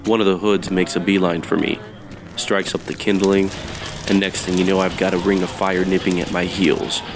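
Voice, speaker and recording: A man playing a wisecracking, sardonic detective, narrating in deadpan, slangy radio noir style. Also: low-pitched, moderate pace, noisy background, natural voice. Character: wisecracking hard-boiled male detective, sardonic and unfazed.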